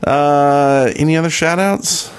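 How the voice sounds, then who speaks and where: A man's voice: a little high, slow, clean tone. A man co-hosting a podcast in a casual, conversational style. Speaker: podcast co-host. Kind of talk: casual podcast chat, reading out listener mail and sponsor.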